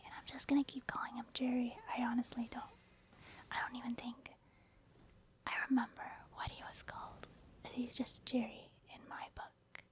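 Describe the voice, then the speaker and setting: Girl whispering asmr gameplay commentary, highish voice, pretty even tone, casual and a bit hesitant. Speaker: gaming ASMR video host.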